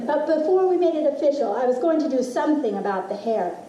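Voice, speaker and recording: A middle-aged woman reading a personal essay aloud as expressive, deliberate storytelling with dramatic pauses. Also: high-pitched, muffled recording. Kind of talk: literary reading of a personal essay to a live audience. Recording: roomy, distant mic with faint noise.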